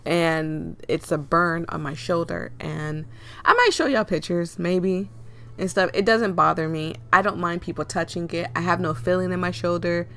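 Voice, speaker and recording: A woman with a cold and a hoarse, fading voice, reading a visual novel aloud with chatty asides. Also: lowish voice, a bit bright. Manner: chatty, laughs and coughs often, rambling asides. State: hoarse, losing her voice with a cold. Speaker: game reader and streamer.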